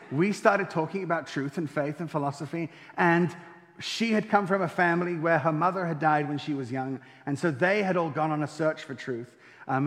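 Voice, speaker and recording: A man's voice: a little high, a bit bright. Wry English man in a reverberant hall. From England and France. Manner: wry, self-deprecating humor, frequent ums. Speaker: speaker giving a testimony. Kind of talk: personal faith testimony to an audience.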